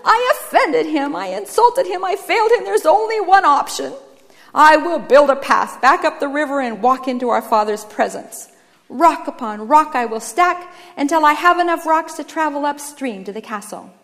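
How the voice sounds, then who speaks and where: A woman's voice: high voice, expressive, normal speed, fairly bright tone, in a large hall, voice pushed up. A woman voicing a guilt-ridden young man who is desperate and stubborn, speaking in an earnest, insistent, dramatic way. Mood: anguished, determined.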